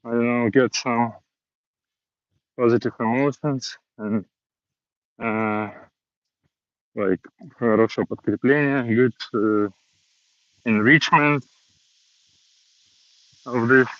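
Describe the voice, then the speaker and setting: Man vlogging on a walk: slow, halting learner English, dull dry phone audio. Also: medium pitch, steady tone, fairly bright tone, no echo. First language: Russian. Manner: slow, hesitant learner English with many pauses. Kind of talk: casual walking vlog monologue. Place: outdoors, walking around a city.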